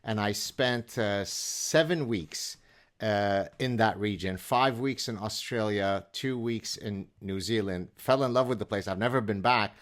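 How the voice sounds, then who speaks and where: A man's voice: on the low side, a little slow. A middle-aged man, a professor hosting an interview, polite and inquisitive with the odd "uh". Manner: polite and inquisitive, occasional filler "uh". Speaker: podcast host and professor. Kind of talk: conversational interview.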